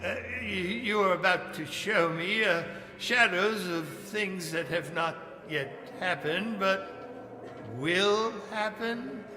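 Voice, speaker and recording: A scared repentant old miser, kinda high and halting, pleading, live radio play in an echoey hall. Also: male voice, over music. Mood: fearful, pleading. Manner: halting, stammering, earnest and theatrical. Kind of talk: stage radio-play acting. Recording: echoing live hall stage recording.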